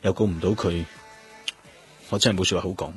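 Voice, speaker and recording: An actor with a Hong Kong accent playing a determined, probing police detective in a radio drama. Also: male voice, low voice, steady tone, brisk, close to an everyday voice. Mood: serious, dogged. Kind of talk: Cantonese radio drama acting. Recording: music bed behind the voice.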